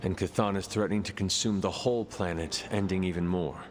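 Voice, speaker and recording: A man's voice: low voice, steady tone, a little fast, big-room sound, faint music behind, close to an everyday voice. An actor playing an earnest, resolute young male warrior hero in a video game. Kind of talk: video game cutscene acting.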